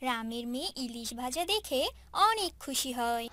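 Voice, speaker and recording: A woman's voice: high voice, expressive, moderate pace, noisy background. A woman telling a story as the narrator of a scripted children's cartoon.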